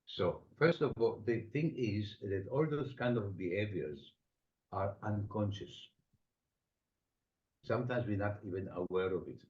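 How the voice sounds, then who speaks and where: A man with an Estonian accent, a psychotherapist answering interview questions thoughtfully, with many pauses. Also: on the low side, lively intonation, a little mellow. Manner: reflective, explains with everyday examples, frequent pauses. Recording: dull lo-fi sound.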